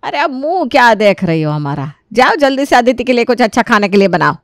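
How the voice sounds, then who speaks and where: An actress playing a grumbling, scheming middle-aged mother-in-law in an animated moral story, scolding and sarcastic. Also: a little high, brisk, no echo, studio quality. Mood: bossy, scolding.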